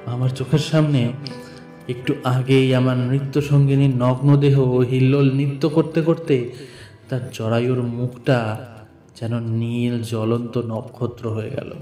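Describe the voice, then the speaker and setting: A man narrating a literary story dramatically in the first person, deliberate and theatrical, with drawn-out pauses. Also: mid-range voice, flat delivery, warm tone. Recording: echoey voice with music bed. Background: background music.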